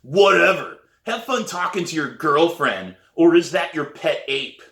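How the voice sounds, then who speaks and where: A man's voice: on the high side, very animated, measured pace, fairly bright tone, pitched above the performer's own voice, exaggerated voice. A man reading a children's novel, voicing a mocking, scornful sixth-grade boy bully. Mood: taunting. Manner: sneering, taunting. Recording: roomy echo.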